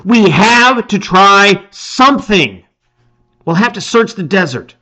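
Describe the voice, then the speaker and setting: A man's voice: high voice, expressive, slow, pushed and bright, voice pushed up, exaggerated voice. A man voicing a hairy, loyal, emotional adventuring companion from a storybook, earnest and emphatic. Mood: distressed, urgent.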